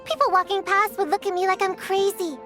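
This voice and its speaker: A woman's voice: very high-pitched, fairly even intonation, fairly quick, music in the background. Hot-tempered, proud young witch-hunter girl. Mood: scornful, dismissive. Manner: scornful, combative. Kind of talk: video game cutscene voice acting.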